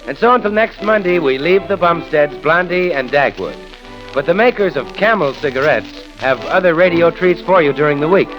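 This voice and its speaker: Man, American accent, vintage radio announcer, fairly high voice, prominent music behind, old broadcast recording. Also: animated, moderate pace, low-quality recording. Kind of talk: 1930s radio sponsor announcement.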